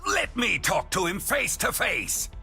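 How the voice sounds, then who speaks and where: Creaky, swooping, grumpy engineer. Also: male voice, high voice, wildly swooping pitch, a little slow, music in the background, heavily acted. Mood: indignant. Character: grumpy, touchy turret-building engineer. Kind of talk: video game voice lines.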